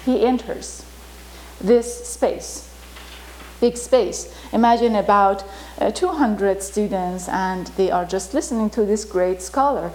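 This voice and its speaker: A woman from Iran whose first language is Turkish tells a story in an informal talk, halting with many fillers. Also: mid-range voice, a little mellow, low-quality recording. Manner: halting, many fillers, vivid retelling with acted quotes. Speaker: storyteller teaching about Rumi.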